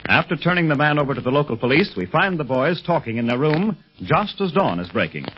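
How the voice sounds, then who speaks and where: A muffled vintage radio recording with music underneath. A male announcer narrates an adventure serial in a fairly high, dramatically lively voice. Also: a little fast, husky.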